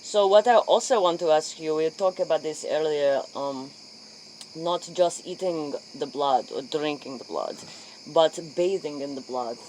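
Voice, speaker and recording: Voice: mid-range voice, measured pace, a little mellow, close to an everyday voice, low-quality recording. Performer acting an earnest interviewer in broken English. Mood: curious. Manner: clipped broken English, dropped articles. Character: earnest, curious late-night interviewer of vampires. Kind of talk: improvised spoof interview role play. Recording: faint noise, chirping insects.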